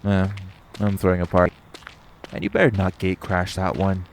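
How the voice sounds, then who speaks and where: Man playing a deep, gravelly, cocky male vampire. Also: lively intonation, moderate pace, slight background noise. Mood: teasing, cocky. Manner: smug, taunting, drawling, playfully menacing. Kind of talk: intimate roleplay audio drama.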